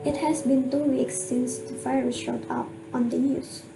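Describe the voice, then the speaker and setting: A woman's voice: high-pitched, level tone, a little slow, gravelly, poor audio. A woman narrating a children's tale for an amateur radio drama in a scripted storytelling style. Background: guitar music.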